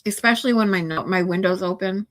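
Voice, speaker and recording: A woman's voice: medium pitch, fast talker. Stuffy-nosed woman in her forties chatting. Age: 40s. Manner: rambling, many ums and restarts. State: stuffy nose. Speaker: livestream host. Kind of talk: casual chat with viewers.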